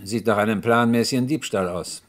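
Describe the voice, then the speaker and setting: Guy acting a police inspector in a radio crime play, low voice, polite n formal, measured questioning. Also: close to an everyday voice. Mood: matter-of-fact, probing. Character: methodical, courteous male police inspector questioning a witness.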